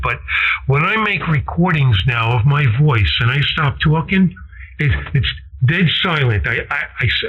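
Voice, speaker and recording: A man in casual technical chat as an amateur radio operator, explaining in a halting, tentative way over a radio transmission. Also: a little high, dull audio, a little hiss or hum. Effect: ham radio transmission. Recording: band-limited ham radio transmission.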